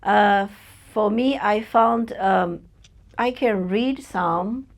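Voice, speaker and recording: Slow, hesitant woman with a Chinese accent. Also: medium pitch. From China. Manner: hesitant, searching for words, many fillers. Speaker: podcast guest. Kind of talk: personal interview.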